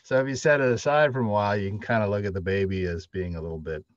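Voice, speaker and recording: A middle-aged man speaks as a panelist in a casual discussion. His voice is very low, and the video call recording is dry and a bit dull. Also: fast, no room sound. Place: indoor room with drop ceiling, on a video call.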